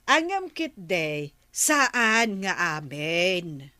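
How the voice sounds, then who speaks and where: A woman's voice: on the low side, very animated, extremely slow, sharp tone, dry recording, heavily acted. A woman playing a gossipy, exclamatory older village woman in a comedy drama. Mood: gossipy, disapproving.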